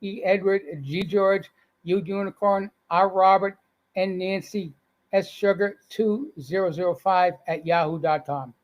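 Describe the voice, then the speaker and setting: Older man, Boston accent, interview guest, cheerful storyteller, higher-pitched voice. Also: fairly even intonation, unhurried, highs cut off. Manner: folksy, upbeat storyteller. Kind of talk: casual interview chat.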